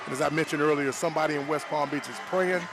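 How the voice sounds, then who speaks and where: A man's voice: on the high side, fairly even intonation, quick pace. A man giving conversational football analysis as a color commentator, plain-spoken and folksy, repeating his points.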